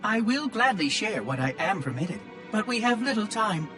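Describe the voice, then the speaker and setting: A man voicing a prim, fretful ancient machine intelligence in a video game cutscene, over a loud score. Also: sits high, sing-song, moderate pace, music in the background.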